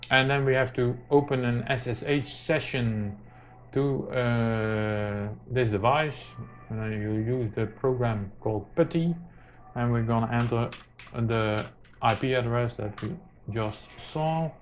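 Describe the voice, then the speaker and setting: Male tutorial presenter, informal, unhurried and hesitant, on a slightly muffled mic. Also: mid-range voice, a little hiss or hum. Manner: halting, very frequent hesitations. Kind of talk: informal hands-on tutorial narration. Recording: muffled lo-fi mic, music behind.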